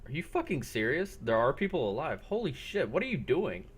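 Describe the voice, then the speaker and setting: A man's voice: on the high side, big pitch swings, fairly quick, slightly rough, pitched above the performer's own voice. Man acting out male boss, mid-fifties, blunt, incredulous, foul-mouthed, roleplay game character. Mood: shocked, incredulous. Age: 50s.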